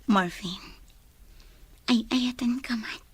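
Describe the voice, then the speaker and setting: An actress playing a modest, soft-hearted young mother in a radio drama, with an Ilocano accent. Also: a little high, slow pace, husky, no room sound, heavily acted. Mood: tender. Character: Rachel, modest, soft-hearted single mother being courted.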